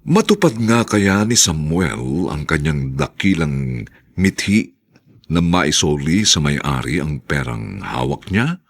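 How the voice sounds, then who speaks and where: A man's voice: rumbling low, very animated, takes it slowly. A man narrating and announcing a radio drama from a script in a solemn, theatrical manner.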